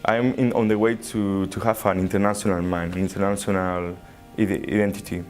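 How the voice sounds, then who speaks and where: A man's voice: low voice, flat delivery, fairly quick, a little mellow, faint music behind. A man answering interview questions, searching for words. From Spain.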